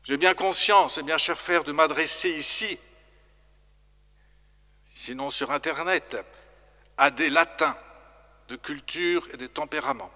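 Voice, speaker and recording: Slow, bright-voiced priest preaching in church. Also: male voice, on the high side. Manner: slow, measured, formal preaching. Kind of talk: sermon. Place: large stone church. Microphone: stand microphone in front. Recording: long church reverb.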